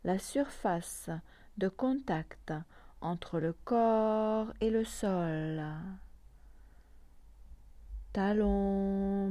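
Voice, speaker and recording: Woman, meditation guide, very slow pace, mellow soft tone, calm, short phrases with long pauses, dry recording. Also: medium pitch, no room sound. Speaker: yoga nidra meditation guide.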